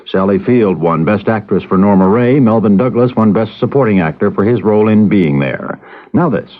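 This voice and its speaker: A man reading scripted news briskly as a radio anchor. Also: lowish voice, animated. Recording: phone-like narrow-band radio recording.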